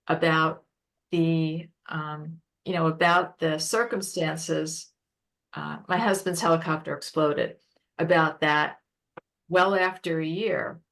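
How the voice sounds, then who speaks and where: A woman presenting an informal webinar, explaining things haltingly and rephrasing as she goes. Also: on the low side. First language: English.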